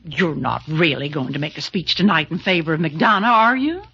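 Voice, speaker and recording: An actress playing a blunt, grumbling but loyal newspaper woman in an old radio drama, with a raspy, tart delivery. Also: low voice, animated, normal speed, fairly bright tone, full character voice. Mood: tart, reluctant. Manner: tart, exasperated. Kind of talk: old-time radio acting. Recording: dull lo-fi old radio recording.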